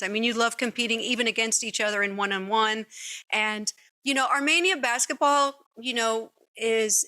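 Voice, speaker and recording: A middle-aged woman hosting a casual interview podcast from her living room, talking enthusiastically and rambling a little, into a mic on a boom arm. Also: bright, forceful tone. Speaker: podcast host. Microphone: studio mic on boom arm.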